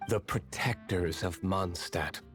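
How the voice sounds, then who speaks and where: An actor playing a cool, guarded young tavern owner in a video game, speaking in a reserved, businesslike way. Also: male voice, sits low, slow, fairly bright tone. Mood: wary. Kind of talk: video game cutscene acting. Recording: game music behind the voice. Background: background music.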